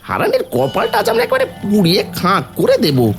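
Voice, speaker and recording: A man playing a sly, vengeful village troublemaker in an animated story, conspiratorial and wheedling. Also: high-pitched, a little fast, faint music behind, heavily acted. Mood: plotting.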